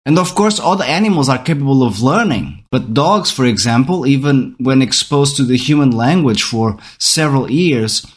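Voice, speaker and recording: A man's voice: mid-range voice, expressive, normal speed, hardly any pauses. Portuguese man musing on how we learn. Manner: thinking aloud, many restarts and rhetorical "right?". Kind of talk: reflective talk on learning.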